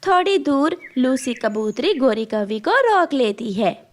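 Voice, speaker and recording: Slow, high-voiced woman narrating a story. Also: sing-song. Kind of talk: scripted cartoon story narration.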